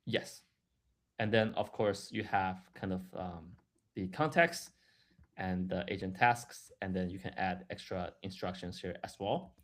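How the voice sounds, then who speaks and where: Man, deep voice, software presenter, explanatory walkthrough, halting delivery. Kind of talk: live product demo, explanatory walkthrough.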